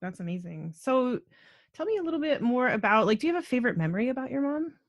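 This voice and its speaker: A woman's voice: high voice, big pitch swings, quick pace, highs cut off. A woman hosting a casual, conversational podcast interview.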